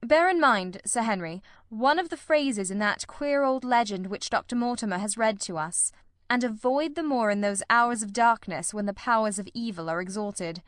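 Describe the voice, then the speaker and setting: A woman voicing a grave, shrewd male detective in a storybook, speaking in precise, deliberate, formal sentences. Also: fairly high pitch, expressive, fairly bright tone, no echo, clean studio sound. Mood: grave, cautionary.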